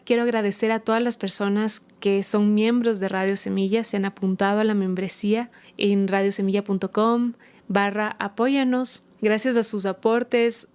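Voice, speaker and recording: A young woman from Ecuador, hosting a podcast with a calm, unhurried, friendly intro. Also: medium pitch. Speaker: podcast host.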